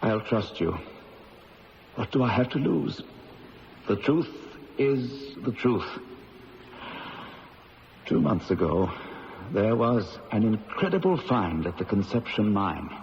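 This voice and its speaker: A man's voice: fairly low pitch, big pitch swings, takes it slowly, gravelly, long pauses, darkish tone, heavily acted. An actor playing a worn-out, desperate diamond firm manager in a radio drama, confiding his story. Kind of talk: 1970s radio drama acting. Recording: muffled old radio broadcast with faint hiss.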